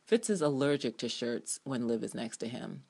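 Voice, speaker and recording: A woman giving an expressive, theatrical reading of her own written TV review, with playful ad-libbed asides. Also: extremely low pitch, normal speed, husky. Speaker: podcast reviewer and TV fan.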